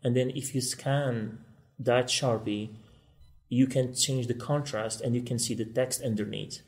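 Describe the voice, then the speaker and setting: A man from Morocco, a law school guest, speaking quickly and earnestly in a podcast interview. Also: medium pitch, fairly even intonation, darkish tone.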